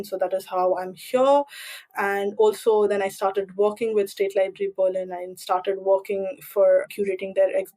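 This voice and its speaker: A woman's voice: medium pitch, a little mellow, low-quality recording. A young woman from India with an Indian accent, speaking as an interview guest in an articulate, reflective and explanatory way. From Delhi, India. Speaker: podcast guest, doctoral researcher.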